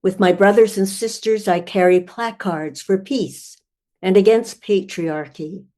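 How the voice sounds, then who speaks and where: An older woman gives a literary poetry reading. Her pace is very slow, and each phrase is measured and deliberate. Her pitch sits in the ordinary range for a woman. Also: darkish tone. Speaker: writer reading own poem.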